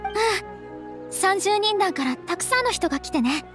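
An actress playing a gentle, earnest young noble lady in a game, caring and girlish, with polite, emotive feminine speech. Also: very high voice, very animated, normal speed, heavily acted. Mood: concerned. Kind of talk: dramatic anime-style game dialogue. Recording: clear music behind the voice. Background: background game music.

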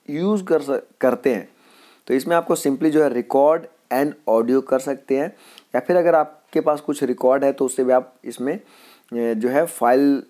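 A man's voice: medium pitch, mellow. A man with an Indian accent hosting a casual voice-over app tutorial. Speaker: host of a tech tutorial channel.

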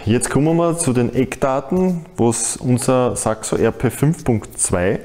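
Casting: Austrian man, forties, motorsport presenter, dark relaxed voice, informal explanatory delivery. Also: medium pitch, a little slow, a little hiss or hum.

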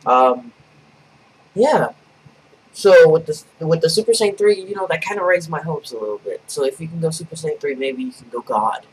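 A man's voice: fairly high pitch, expressive, normal speed, recorded from a distance, slight background noise, rough recording. A young adult man giving casual live reaction commentary as a video host. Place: small bedroom, indoors. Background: trailer music.

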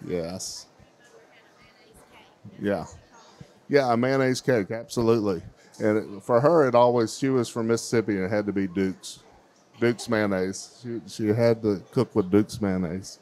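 A man's voice: on the low side, measured pace, pauses often, darkish tone. A middle-aged man, hosting a kids' baking contest on a handheld mic in a large fair hall, chatty and encouraging. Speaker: contest host. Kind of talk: emcee interviewing child contestants.

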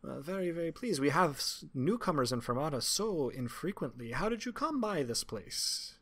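A cheerful woman who sings in a tavern, played by a man, for a tabletop fantasy game. The voice is lifted in pitch, and the talk is breezy, folksy and sociable. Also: big pitch swings, moderate pace, a bit bright, slightly rough, exaggerated voice. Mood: curious. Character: cheerful, gracious female tavern singer and reluctant mayor.

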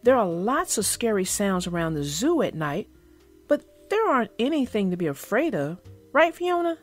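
A woman voicing an excitable young hippo boy, the little brother in a storybook. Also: medium pitch, moderate pace, soft background music. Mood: excited, earnest.

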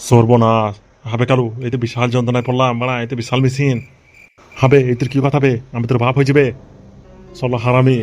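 A man's voice: mid-range voice, flat delivery, fast talker, darkish tone, faint music behind, lightly acted. A man playing a coarse, blustering, hot-tempered gangster boss and harried father in an amateur comic cartoon dub. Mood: exasperated.

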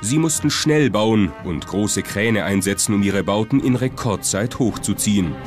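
A man's voice: on the low side, lively intonation, moderate pace, a little mellow. A man reads scripted documentary narration. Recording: music behind the voice. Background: music.

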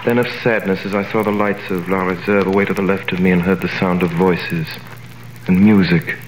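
A man's voice: low-pitched, level tone, brisk, natural voice. An actor in a 1930s radio drama playing a young sailor who narrates his own arrest and cries out in desperation. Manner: narrates in first person, then shouts in panic. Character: young male sailor, wrongly jailed, desperate. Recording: echoey, noisy 1930s radio recording.

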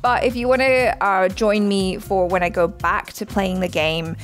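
A woman's voice: medium pitch, steady tone, moderate pace, soft background music. A woman hosting a reaction video in a casual, wry, chatty way. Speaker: reaction show host.

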